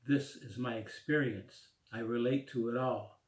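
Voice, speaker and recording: A man in his sixties reading his own rhymed poem aloud at home in a slow, rhythmic recitation. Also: medium pitch, steady tone. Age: 60s. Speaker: poet. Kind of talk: spoken word poetry reading. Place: small room at home, indoors. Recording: echoey room.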